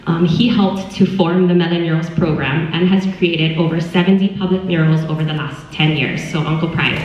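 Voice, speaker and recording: A woman moderating a Q&A, reading guest introductions and asking questions politely, a little halting. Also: low voice, fairly even intonation, noisy background. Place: large hall at a film screening. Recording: echoey hall from far off, dull.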